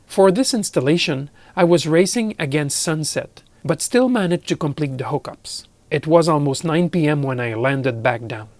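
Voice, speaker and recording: A man's voice: on the high side, animated, normal speed, hardly any pauses, dry recording. A middle-aged man from Canada narrating a hobby project vlog as an amateur radio operator.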